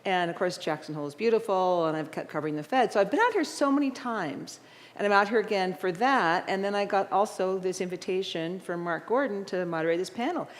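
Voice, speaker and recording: A woman's voice: mid-range voice, lively intonation, fairly quick. A woman moderating a public panel, chatting easily through her introductions with a few fillers. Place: large event hall. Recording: long hall reverb.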